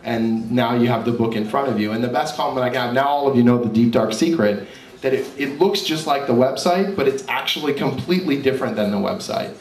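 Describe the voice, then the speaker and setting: A man giving an informal talk as an author and comic artist, candid, animated and self-deprecating. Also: medium pitch, fast. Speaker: author and comic artist presenting his work. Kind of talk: informal live talk with slides. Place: indoor cafe event space. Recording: roomy, distant mic, faint background noise.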